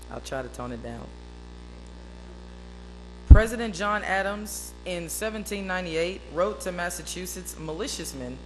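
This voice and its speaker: Loud, booming woman speaker. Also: poor audio. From East Arkansas, USA. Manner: emphatic, earnest. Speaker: speaker at an interfaith devotional. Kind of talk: prepared public speech. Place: meeting hall.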